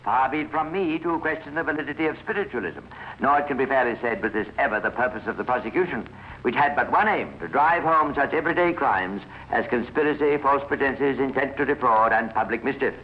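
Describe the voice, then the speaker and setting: A man's voice: medium pitch, fairly quick. A British man narrating a scripted 1950s radio crime story in a formal, precise storytelling manner. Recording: muffled, noisy old radio recording.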